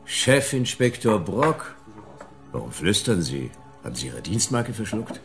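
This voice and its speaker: An actor playing a world-weary, wisecracking private detective, narrating a radio drama in a dry, laconic, ironic way. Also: male voice, on the low side, sing-song, unhurried, fairly bright tone, soft background music, exaggerated voice. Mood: defiant, mocking. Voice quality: gravelly.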